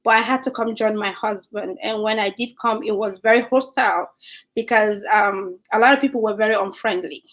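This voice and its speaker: A young woman from Nigeria with a Nigerian accent, chatting as a guest in an animated way and laughing often. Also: mid-range voice, fairly quick, rough recording. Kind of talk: casual chat.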